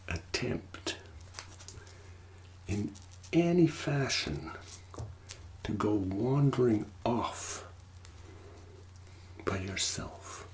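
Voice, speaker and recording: A man playing a mysterious, courteous manor master and game host in a soft, partly whispered, formal ASMR roleplay voice. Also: lowish voice, slight background noise, full character voice. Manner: slow, deliberate, formal, long pauses.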